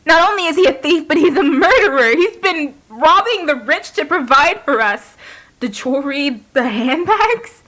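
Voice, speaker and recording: A woman's voice: high voice, lively intonation, normal speed, fairly bright tone. A woman acting in an audio drama as a bitter, anguished young wife who wants out of motherhood. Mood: shocked, angry. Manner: bitter, emotional.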